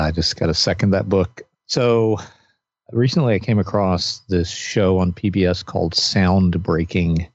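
Deep-voiced man, relaxed podcast chat. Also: vocal fry, dry recording, muffled recording. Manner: relaxed, rambling recommendations with restarts. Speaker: podcast co-host.

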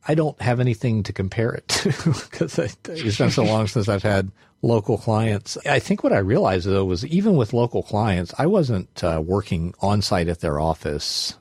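A man with a very deep, husky voice chats on a podcast in a reflective, thinking-aloud way. His sound is dry and close, with no room around it. He leans on small fillers as he works through a point. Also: animated, normal speed, a little mellow, no echo. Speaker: podcast co-host, consultant. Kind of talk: casual conversational chat.

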